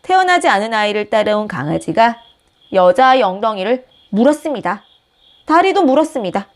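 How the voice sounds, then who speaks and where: A woman host reading a picture book aloud with gentle, expressive storytelling. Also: a little high, a little fast. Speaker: storybook reader, channel host.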